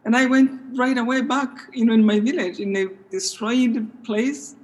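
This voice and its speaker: A woman from Rwanda with a Rwandan accent, speaking as a guest in a post-show talkback over a video call, halting and heartfelt. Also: fairly high pitch, darkish tone, poor audio. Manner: halting and heartfelt, restarting phrases. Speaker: guest, the author whose story the play tells. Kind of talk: emotional talkback chat.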